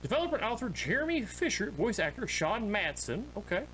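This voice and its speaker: A man's voice: sits high, very animated, brisk, a bit bright, slight background noise, rough recording. A man giving casual commentary as he plays a horror game, reading screen text aloud with joking, offhand asides. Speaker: streamer playing a horror game.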